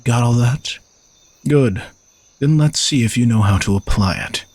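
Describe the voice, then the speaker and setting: A man playing an arrogant, teasing young knight bodyguard who is gruffly dutiful, in an audio roleplay spoken to the listener. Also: medium pitch, unhurried, a little mellow, no echo, natural voice. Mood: confident, teasing.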